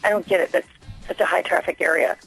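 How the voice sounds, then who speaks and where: A woman's voice: on the low side, fast, vocal fry, a bit bright, breathy edge, faint music behind. A woman phoning a radio station as a listener, making an earnest, insistent complaint in a hesitant, spontaneous way. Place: phone call into a radio station. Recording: dry telephone line to radio studio.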